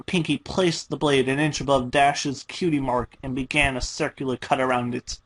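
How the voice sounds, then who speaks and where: Casting: male amateur narrator, mid-pitched, for dramatic readings of fan fiction. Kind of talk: dramatic scripted story narration.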